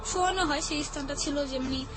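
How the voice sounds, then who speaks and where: High-voiced, tearful young Bangladeshi woman over music. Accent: Bangladeshi Bengali. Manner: tearful, halting recounting. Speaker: guest. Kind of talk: personal testimony. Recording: music under the voice. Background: background music.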